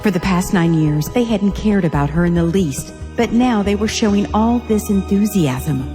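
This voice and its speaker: Mellow female drama narrator in reverb. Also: medium pitch, lively intonation, normal speed. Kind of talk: scripted dramatic story narration. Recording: long reverb with music behind. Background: background music.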